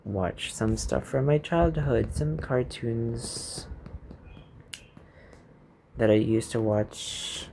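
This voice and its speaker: Young filipino-accented guy rambling on his vlog, slow, lots of ums and restarts, creaky voice. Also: mid-range voice, mellow, slight background noise. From Philippines. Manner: hesitant, many fillers and restarts, slow. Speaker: YouTuber.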